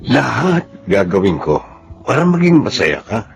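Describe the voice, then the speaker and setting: A man playing a flirtatious, smooth-talking rich married businessman in a Filipino radio drama. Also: mid-range voice, sing-song, measured pace. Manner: flirtatious, suave. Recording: music playing clearly behind the voice.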